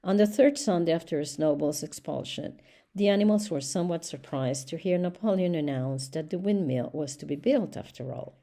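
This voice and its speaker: A woman reading a storybook aloud as scripted narration, with measured, deliberate phrasing. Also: deep voice, warm tone. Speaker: audiobook reader.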